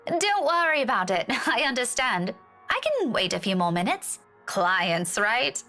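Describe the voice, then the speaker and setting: A woman's voice: fairly high pitch, big pitch swings, a little slow, bright, forceful tone, soft background music, exaggerated voice. An actress playing a polite, easygoing young woman in a visual novel, speaking in a friendly manner.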